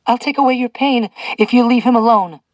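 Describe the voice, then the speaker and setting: An audiobook reader, a man, voicing a gentle young woman held captive who pleads earnestly for mercy for another prisoner. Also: very high-pitched, brisk, no echo, lo-fi sound, pitched above the performer's own voice. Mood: pleading.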